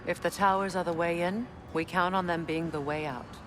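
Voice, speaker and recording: An actress playing a proud warrior queen and goddess general, composed and measured. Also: fairly low pitch, music in the background, close to an everyday voice. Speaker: video game character. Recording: game audio with steady background noise.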